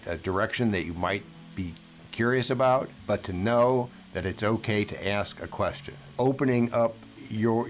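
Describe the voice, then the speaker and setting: A man giving conversational financial advice in an emphatic, deliberate manner, repeating words for emphasis. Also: on the low side. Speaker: financial coach and podcast guest speaker. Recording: music playing behind the voice.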